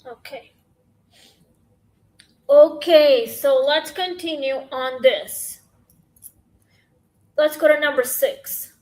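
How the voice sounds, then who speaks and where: A woman with a scratchy voice hosting a casual question-and-answer chat, halting and rambling with many fillers, talking into her phone. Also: sits high, a little mellow. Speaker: podcast host.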